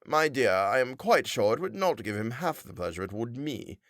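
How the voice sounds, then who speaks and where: A man's voice: medium pitch, big pitch swings, normal speed, fairly bright tone, dry recording, studio quality. A man reading a novel aloud, voicing an irritable older shopkeeper husband who grumbles in exasperation. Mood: exasperated. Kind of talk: audiobook character voice.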